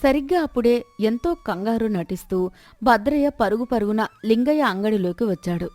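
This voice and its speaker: A woman's voice: fairly high pitch, lively intonation, moderate pace, darkish tone, dry recording. A woman narrator reading a scripted moral story, with music behind her. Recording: background music bed.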